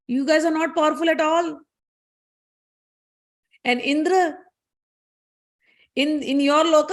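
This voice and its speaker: A proud male moon god who lectures and scolds the other gods, as a woman storyteller acts him out. He speaks high and haughtily. It sounds like a storybook myth. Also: lightly acted.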